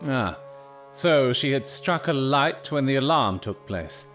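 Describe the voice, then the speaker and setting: An actor playing a keen, analytical gentleman detective in an audio drama, questioning in a probing, deliberate way. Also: mid-range voice, expressive, faint music behind. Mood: probing, thoughtful.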